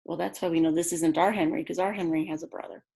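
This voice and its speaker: A woman's voice: fairly low pitch, steady tone, quick pace, vocal fry, mellow. A woman teacher reading a children's book aloud, speaking expressively and directly to young listeners with chatty, simple asides.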